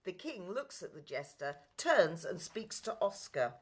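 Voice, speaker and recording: A woman's voice: on the low side, sing-song, measured pace, slightly rough. A woman narrating a play script with its stage directions.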